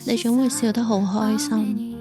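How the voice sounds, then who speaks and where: An actress playing a sweet, gentle young woman in love in an audio drama, tender and girlish, with a Hong Kong Cantonese accent. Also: on the high side, steady tone, a little slow, music in the background, natural voice. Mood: wistful.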